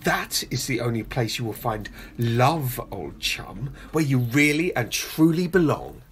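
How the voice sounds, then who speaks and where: Woman playing a very husky, suave villainous professor. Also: rumbling low, big pitch swings, measured pace, heavily acted. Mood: mocking, grandiose. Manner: theatrical, mock-courteous, pompous. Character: suave, gloating male professor, secretly a fanatical foreign spy. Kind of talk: homemade radio play acting.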